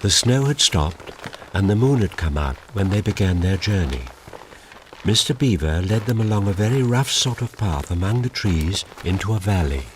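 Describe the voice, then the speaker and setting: A man's voice: deep voice, animated, moderate pace, slight background noise. A man narrating a scripted children's story as a storyteller. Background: music.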